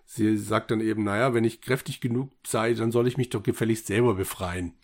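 A man's voice: lowish voice, fairly even intonation, a little fast. A man co-hosting a podcast, giving a casual, conversational recap and review. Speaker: podcast co-host.

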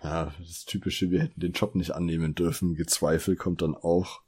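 A man with a low, rough-edged voice. He talks as a podcast co-host in loose, casual discussion, keen on the story he retells and slipping into quoted dialogue. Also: flat delivery, moderate pace, a little mellow. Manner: enthusiastic, quotes characters' lines while retelling.

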